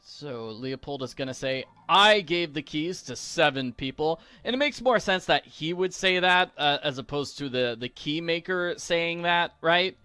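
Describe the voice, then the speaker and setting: A man's voice: fairly high pitch, lively intonation, a little slow, hardly any pauses, bright, forceful tone, smooth, no echo, faint music behind. A man hosting a video game walkthrough with casual, chatty commentary, recorded close on a mic. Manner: chatty, acts out quoted lines.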